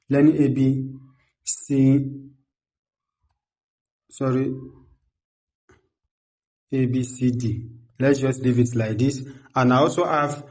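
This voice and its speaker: A young adult man with a mid-pitched voice presents a step-by-step tutorial. He explains instructionally and often repeats himself, close to a desktop microphone. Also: a little mellow. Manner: repetitive, instructional. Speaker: tutorial host. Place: small indoor home studio. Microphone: desktop condenser microphone close in front.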